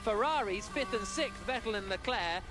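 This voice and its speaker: High-voiced male race commentator. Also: over loud music. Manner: brisk, excited play-by-play. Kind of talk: live motor racing commentary.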